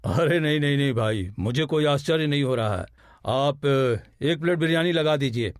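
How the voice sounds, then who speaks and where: A man's voice: on the high side, expressive, normal speed, no room sound, studio quality, close to an everyday voice. A man voicing a greedy, boastful crow who sells biryani in a cartoon moral story, exclaiming and self-satisfied. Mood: hungry, flustered.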